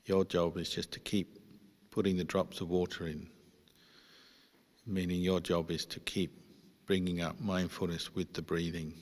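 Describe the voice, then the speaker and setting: A man, a Buddhist monk, guiding a meditation with measured instructions and pauses between short phrases. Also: low voice, level tone, a little mellow. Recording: long hall-like reverb.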